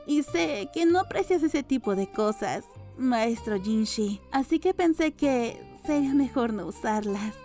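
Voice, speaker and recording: Mellow, earnest young apothecary woman, voiced by a female narrator. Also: on the high side, expressive, a little slow, hardly any pauses. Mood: matter-of-fact. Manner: earnest, deferential, blunt. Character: serious, matter-of-fact young apothecary woman. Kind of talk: audiobook character dialogue. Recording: music under the voice.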